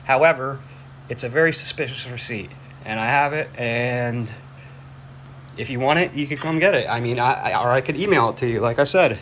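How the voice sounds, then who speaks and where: A man's voice: medium pitch, fairly bright tone. Insistent male caller on a noisy phone. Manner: repetitive, halting, insistent. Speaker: caller reporting a suspicious order to police. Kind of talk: phone conversation, explaining a complaint. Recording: noisy phone call recording.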